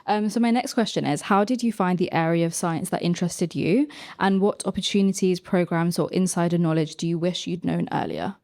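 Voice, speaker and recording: A woman's voice: fairly low pitch, brisk, darkish tone, dry recording. A woman moderating an informal live podcast panel, putting questions to the guests. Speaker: panel host.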